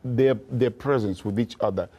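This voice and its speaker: A man's voice: medium pitch, moderate pace, dark tone, dull audio. A man in his fifties, an actor telling his story relaxedly in an interview. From Kenya. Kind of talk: casual interview talk.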